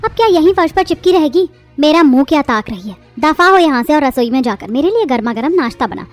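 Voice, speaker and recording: A woman voicing a harsh middle-aged mother-in-law in an animated family drama, hurling shrill insults. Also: quick pace, faint music behind. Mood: furious. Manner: shrill, insulting, shouting tirades.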